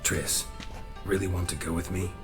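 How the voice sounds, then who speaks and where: Male actor as stoic monster hunter, low gruff voice, terse, dry, video game, loud music behind. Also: steady tone, normal speed, close to an everyday voice. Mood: dry, calm. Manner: terse, understated.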